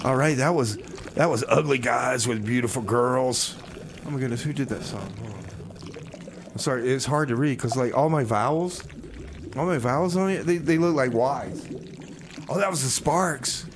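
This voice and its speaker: A man's voice: mid-range voice, moderate pace, vocal fry, background noise. A man hosting a community radio music show with casual, comedic banter.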